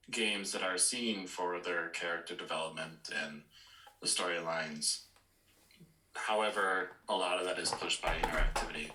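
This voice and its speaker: Deep guy w a really crisp bright tone, thinking out loud on a podcast, echoey room. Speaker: podcast co-host. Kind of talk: reflective casual discussion.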